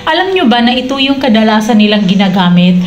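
Higher-pitched woman in an echoey room. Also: very animated, fast, highs cut off. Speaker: online seller promoting a product. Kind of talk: casual sales pitch. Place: small room indoors. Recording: roomy echo, clear background noise.

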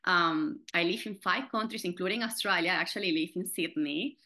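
A woman's voice: lowish voice, a little fast, sharp tone. A woman in her thirties from Venezuela with a Venezuelan Spanish accent, hosting a podcast over a video call, talking hesitantly with many fillers and restarts. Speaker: podcast host. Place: home room, indoors. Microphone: video call, webcam.

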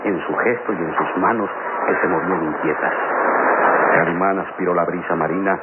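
A man's voice: deep voice, steady tone, normal speed, phone-quality sound. Solemn male narrator on noisy old radio. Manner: solemn, declamatory. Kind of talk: dramatic scripted narration, vintage radio serial.

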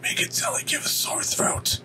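A man putting on a strained, breathy, feminine-leaning voice as if he had a sore throat. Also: normal speed, bright, forceful tone, faint music behind. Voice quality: whispery, strained.

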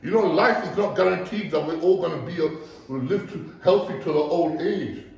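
A man lectures a gym crowd on motivation, blunt and swearing freely. His voice sits a little high for a man. It comes from well off the mic and booms around a large echoey gym. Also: a little fast, creaky, darkish tone, recorded from a distance. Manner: blunt, profane, preachy. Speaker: gym owner giving a seminar. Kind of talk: blunt motivational talk to a group.

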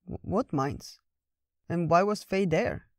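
A woman's voice: fairly low pitch, slow, no room sound, clean studio sound. A woman voicing a bewildered, tearful lost girl in a fairytale, plaintive and stammering. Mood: confused.

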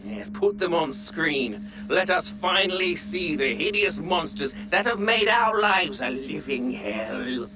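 A woman's voice: on the low side, animated, takes it slowly, few pauses, background noise. A woman playing an effusive alien resort manager in a sci-fi comedy audio drama, gushing and melodramatic. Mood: dramatic. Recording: music behind.

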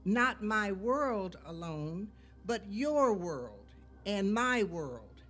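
An older woman reads poetry expressively at a podium microphone, deliberate and emphatic. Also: mid-range voice, big pitch swings, fairly bright tone. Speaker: community poetry reader. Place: indoor event room, at a podium. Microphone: podium microphone on a stand. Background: faint music.

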